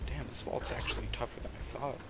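Soft-spoken young man rambling over gameplay. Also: mid-range voice, fairly even intonation, fast talker, gravelly, very noisy. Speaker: gaming channel host. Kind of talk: casual gameplay commentary. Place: at home.

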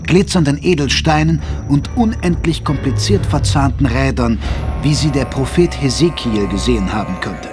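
A man playing the storyteller of a detective audio drama. He narrates descriptively and with measured delivery in a mid-range voice, with music clearly heard underneath. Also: medium pitch, animated, lightly acted. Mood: measured, atmospheric. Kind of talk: scripted narration.

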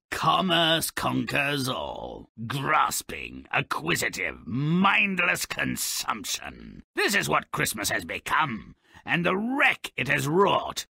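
A man voicing a mischievous, grumpy little creature as a sardonic video game narrator, with a gravelly, raspy voice and a mocking, grandiloquent manner. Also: fairly high pitch, sharp tone, no room sound, clean studio sound, full character voice. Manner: mocking, grandiloquent, relishing misfortune.

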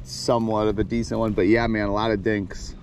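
A man in his thirties, a fishing vlogger, chatting casually and swearing from his kayak, with excited whoops when a fish bites. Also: on the low side, a little fast, slight background noise. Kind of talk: casual outdoor vlog chat. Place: outdoors in a kayak on a river. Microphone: action camera on the kayak.

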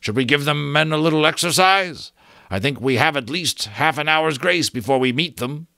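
A man voicing an eager male military officer in a storybook reading, with a clipped, formal, hurried manner. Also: fairly high pitch, animated, gravelly, bright, forceful tone, dry recording, studio quality, pitched above the performer's own voice, exaggerated voice. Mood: startled, eager.

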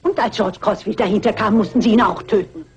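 A woman's voice: medium pitch, quick pace, a little mellow, slightly rough. An actress playing a shrewd, composed mature lady sleuth in a German radio crime drama, dry, precise and dignified, in a dull old recording. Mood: calm, accusing.

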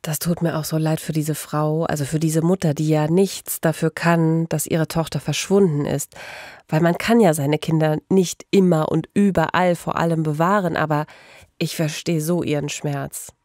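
A woman with a slightly low voice hosts a true-crime podcast. She speaks personally and with empathy, recorded dry without room sound. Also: steady tone, moderate pace, a little mellow, no room sound. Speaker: podcast host.

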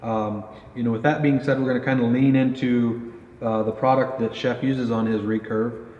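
A man's voice: mid-range voice, steady tone, normal speed, darkish tone. Man explaining gear in a roomy range. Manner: casual, many fillers, explaining gear. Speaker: company pro staff interviewer. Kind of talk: product interview. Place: indoor archery range. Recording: roomy echo, dull, faint noise.

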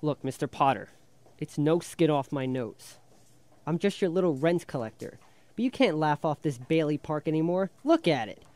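A man's voice: a little high, lively intonation, darkish tone, no echo, close to an everyday voice. An actor playing a wry, frank rent collector who slyly needles his boss in a radio drama. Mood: sardonic, needling. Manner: sardonic, fast-patter monologue.

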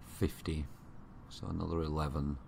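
A man with a Yorkshire accent giving casual, unhurried gaming commentary, thinking aloud to himself. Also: extremely low pitch, little pitch movement, gravelly, darkish tone, a little hiss or hum. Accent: Yorkshire English. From Yorkshire, England. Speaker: gamer commentating own play. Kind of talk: casual let's play commentary. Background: strategy game ambience.

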